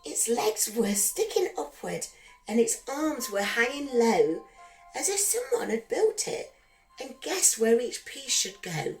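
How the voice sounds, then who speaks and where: Slow, higher-voiced woman reading a bedtime story. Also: big pitch swings, darkish tone, rough recording. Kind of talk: rhyming children's bedtime story narration. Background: background music.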